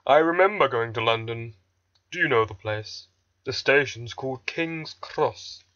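A man's voice: medium pitch, measured pace, pushed and bright, dull audio. A man voicing a proud, grumpy, impatient steam engine in a pompous, crotchety storybook manner. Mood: pompous reminiscing.

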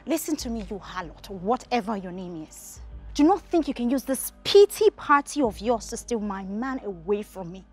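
Confrontational, possessive young-love rival for screen drama, high register, music underneath. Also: female voice, animated, fairly quick, a little mellow. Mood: jealous, threatening. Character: possessive, confrontational girlfriend guarding her man. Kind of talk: naturalistic screen drama acting.

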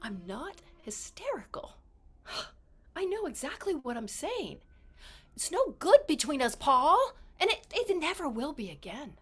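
A woman's voice: sits high, sing-song, measured pace, soft background music. A woman acting a volatile, tearful and stubborn young newlywed wife in a comedy scene, dramatic and repeating her words. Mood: distraught.

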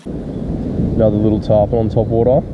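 A man's voice: lowish voice, level tone, moderate pace, dull audio. A young Australian man chatting casually in laid-back slang as he vlogs a fishing trip outdoors. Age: young adult. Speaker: fishing vlogger. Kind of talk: casual vlog chat while fishing. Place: outdoors on beach and creek bank. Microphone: chest-mounted action camera. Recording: noisy outdoor action camera, wind.

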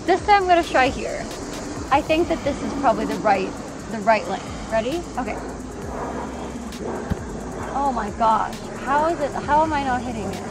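A young woman vlogging outdoors in a park, slightly hoarse from losing her voice, talking casually with lots of fillers and apologies. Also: high-pitched, lively intonation, normal speed, pauses often, background noise. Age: young adult. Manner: giggly, filler-heavy, apologetic. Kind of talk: casual vlog talk to camera. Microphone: handheld camera mic, sometimes far camera. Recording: outdoor camera audio under background music. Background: background music.

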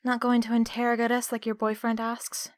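A woman's voice: fairly high pitch, completely flat delivery, a little fast, no echo, natural voice. An actress playing a defiant, foul-mouthed female captive in an audio drama, biting and sarcastic. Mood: scornful.

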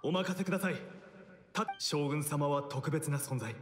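Game role: male actor as earnest samurai follower, reverent, fairly high male voice, long reverb. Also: normal speed, close to an everyday voice. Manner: reverent, formal. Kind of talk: Japanese anime-style game voice acting. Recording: echoing, long reverb over music.

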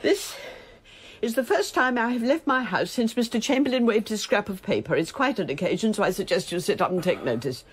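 A woman's voice: medium pitch, lively intonation, brisk, breathy edge. An actress playing an imperious older English noblewoman in a radio drama, formal, wry and insistent, with an English accent. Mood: wry, brisk.

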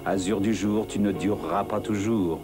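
A man's voice: lowish voice, steady tone, normal speed, music in the background, close to an everyday voice. A man playing a grandiloquent, poetic storyteller narrating a rhyming cartoon fable. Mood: lyrical, grandiloquent.

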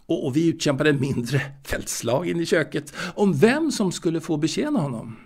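An actor playing a fastidious, proud waiter and former seaman in an audio drama monologue, confiding in a halting, repetitive way. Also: a little high, sing-song, breathy edge, exaggerated voice. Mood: composed, proud. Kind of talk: intimate dramatic monologue, told to a listener.

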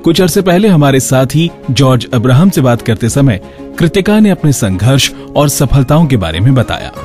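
A man's voice: mid-range voice, sing-song, normal speed, music in the background. A man hosting a radio show with scripted narration.

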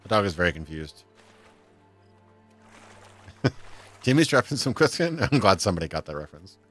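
A man's voice: low voice, sing-song, normal speed, a bit bright. A man in his thirties casually chatting and laughing as he plays a game on a livestream, at a desk mic in a home room. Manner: chatty, laughs often, reads chat aloud. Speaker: livestream gamer. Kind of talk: casual let's-play chat. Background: faint game music.

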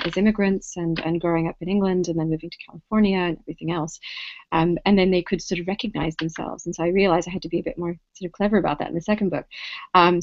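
A woman's voice: lowish voice, no echo. A woman in her thirties, a poet in her office, answering thoughtfully and a little hesitantly over a video call. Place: office with bookshelves indoors. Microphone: laptop webcam on video call. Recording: dry, dull video call audio.

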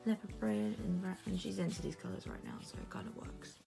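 A young woman with a hoarse, gravelly voice from losing it, chatting casually through a home vlog while filming on her phone at arm's length. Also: medium pitch, huge pitch swings, a little fast. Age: young adult. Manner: chatty, narrating as she goes. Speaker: vlogger. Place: home, indoors. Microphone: phone held at arm's length. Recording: music playing over voice.

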